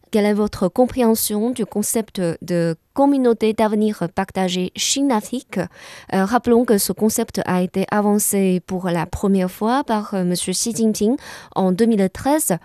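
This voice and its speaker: Mellow-voiced woman interviewer. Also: medium pitch, dry recording, clean studio sound. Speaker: radio interviewer. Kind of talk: reading prepared questions.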